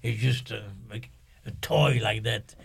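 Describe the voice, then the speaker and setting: Older interview guest from Algeria, gravelly, halting, pausing often. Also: male voice, mid-range voice. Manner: halting, with many pauses and fillers. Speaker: guest musician. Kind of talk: casual interview.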